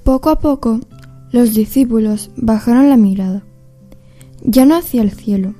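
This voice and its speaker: A woman narrating a scripted radio play in a measured, reflective storytelling way, with music behind her. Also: on the high side, warm tone.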